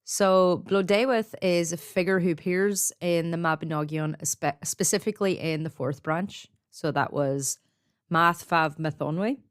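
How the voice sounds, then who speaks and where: A woman with a low-pitched voice presents folklore on a podcast. The sound is very dry. She explains as she tells, conversationally, and slips in informal asides. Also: unhurried, clean tone, no room sound. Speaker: podcast co-host. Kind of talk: conversational storytelling about folklore.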